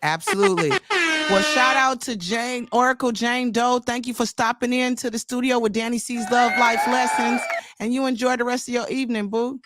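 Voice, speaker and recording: A woman hosting a show with a raspy voice from a slight cold, talking in a slangy, hyped way. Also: on the high side, normal speed, very noisy. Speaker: show host. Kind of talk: casual interview chat.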